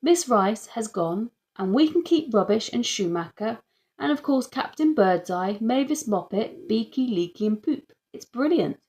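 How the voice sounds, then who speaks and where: A woman's voice: fairly high pitch, expressive, measured pace, darkish tone. A woman reading a children's audiobook as narrator, telling the story in the first person. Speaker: audiobook reader.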